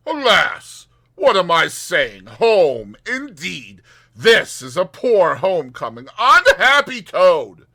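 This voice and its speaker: A man's voice: sits high, huge pitch swings, slow pace, gravelly, pushed and bright, voice pushed up, heavily acted. A man voicing a gloomy, solemn storybook badger in a portentous, lamenting manner. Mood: mournful, portentous.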